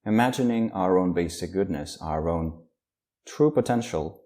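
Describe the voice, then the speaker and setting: Male meditation teacher, low dark voice, unhurried guided practice with long pauses. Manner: slow, calm, with long pauses.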